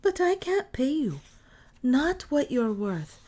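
A woman voicing a weary, kind mother in a storybook, speaking gently and wonderingly. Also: high voice, very animated, slow, pitched above the performer's own voice, full character voice. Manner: gentle, wondering, soft-spoken. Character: tired, kind, puzzled poor mother.

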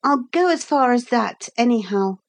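A woman's voice: on the high side, lively intonation, normal speed, pitched above the performer's own voice. A woman voicing a young boy in a storybook, earnest, curious and polite, with an eager, questioning way of talking. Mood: lonely, wondering. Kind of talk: storybook character voice in a read-aloud.

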